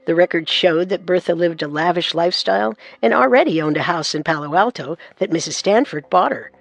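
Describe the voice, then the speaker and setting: A man narrating a true-crime radio story in an earnest, assertive way over soft music. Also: sits high, animated, a little fast, vocal fry. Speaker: narrator and co-host.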